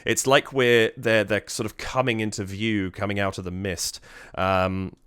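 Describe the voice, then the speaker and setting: Male reaction host, low smooth tone, a bit stuffy nosed, dry close sound. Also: little pitch movement, measured pace, fairly bright tone, no room sound. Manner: admiring, thinks aloud, hums melody lines. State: slightly stuffy from a cold. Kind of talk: casual music analysis commentary.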